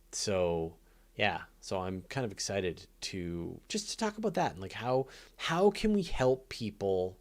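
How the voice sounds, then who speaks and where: A man hosting a casual live space Q&A, talking off the cuff in an earnest, rambling way that halts and then rushes on. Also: low-pitched. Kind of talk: casual unscripted livestream chat.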